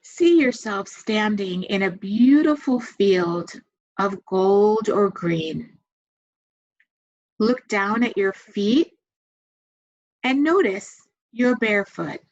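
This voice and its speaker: A woman leading a guided meditation, giving gentle, soothing instructions with long pauses. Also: mid-range voice, expressive. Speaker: meditation guide and massage therapist. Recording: dull, muffled lo-fi sound.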